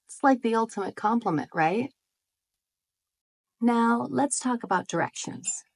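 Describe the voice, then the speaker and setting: A woman's voice: on the high side, expressive, a little slow, gravelly. A woman talking to her viewers in a friendly, explanatory way and often asking rhetorical questions. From United States. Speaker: vlogger. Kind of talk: scripted talk to camera.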